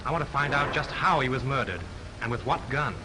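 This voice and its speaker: A man's voice: medium pitch, lively intonation, a little fast, lightly acted. A young man playing a confident amateur sleuth with a breezy, joking manner, in a 1930s film. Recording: hissy 1930s film soundtrack.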